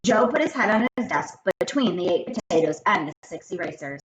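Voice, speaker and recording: Animated woman reading a children's story. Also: fairly low pitch, brisk, muffled recording. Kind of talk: expressive children's book read-aloud. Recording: roomy echo, faint background noise.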